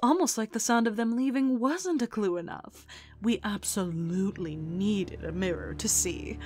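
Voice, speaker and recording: A woman's voice: mid-range voice, normal speed, darkish tone, soft background music, close to an everyday voice. A woman voicing a sarcastic, scheming male trickster in a dramatic comic dub, with a dry, biting manner. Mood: dry, impatient.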